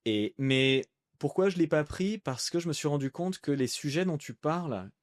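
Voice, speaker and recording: A man's voice: fairly high pitch, expressive, normal speed, dry recording, clean studio sound. A man in his thirties from France, a guest on a podcast, talking casually and rambling with lots of fillers and restarts. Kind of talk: casual conversational interview.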